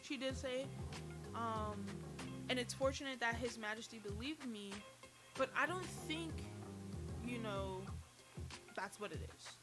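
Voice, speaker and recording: A woman giving a casual, animated recap of a TV drama, with profanity and slang, talking straight to her chat. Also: a little high, measured pace, pauses often, music in the background. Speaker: TV series reviewer.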